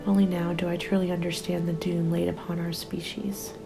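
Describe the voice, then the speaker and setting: A woman playing a weary, hopeless apocalypse survivor, giving a sombre diary monologue in a soft, breathy half-whisper as ASMR roleplay. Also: fairly low pitch, flat delivery, over music. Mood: bleak resignation. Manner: resigned, sombre, deliberate.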